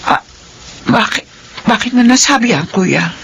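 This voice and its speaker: A woman's voice: on the high side, expressive, a little slow, gravelly, a bit bright, exaggerated voice. An actress playing a weary, long-suffering middle-aged Filipino mother in a radio drama. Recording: noisy radio drama recording.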